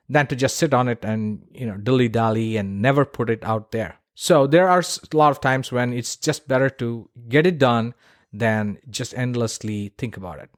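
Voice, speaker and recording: Casting: male solo podcaster, medium pitch, very dry recording, informal and opinionated, punches key points bluntly. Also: dry recording. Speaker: podcast host.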